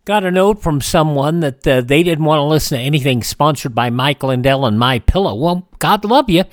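A man's voice: fairly high pitch, expressive, normal speed, no echo, clean studio sound. A man hosting a radio show, giving a casual, ad-libbed sponsor read in a folksy way.

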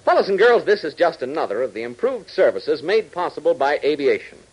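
A male announcer from 1940s radio, narrating a script with dramatic emphasis. His voice is slightly high, husky and very lively in pitch. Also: brisk, dull audio.

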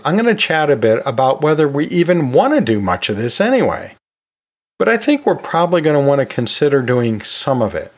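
Man giving conversational podcast tips. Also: medium pitch. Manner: explanatory, informal asides. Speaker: podcast host and college teacher.

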